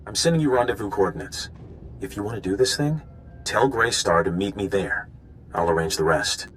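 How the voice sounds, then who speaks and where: Scheming male informant, slightly low pitch, earnest, conspiratorial, video game dialogue, faint noisy rumble. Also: animated, normal speed, fairly bright tone. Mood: urgent, plotting.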